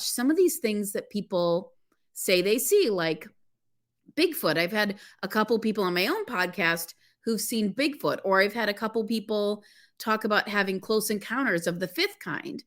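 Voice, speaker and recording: A woman's voice: mid-range voice, fairly bright tone. A woman who is a guest on a podcast, talking enthusiastically and laughing as she tells her story. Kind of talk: casual podcast interview.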